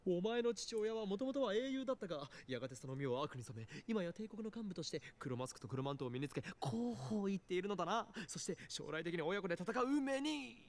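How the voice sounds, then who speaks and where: A man's voice: sits high, sing-song, racing, hardly any pauses. An actor playing a pompous, theatrical young man who teases, in a visual novel. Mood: grandiose. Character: pompous, theatrical, teasing young self-styled boss. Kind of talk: Japanese visual novel voice acting.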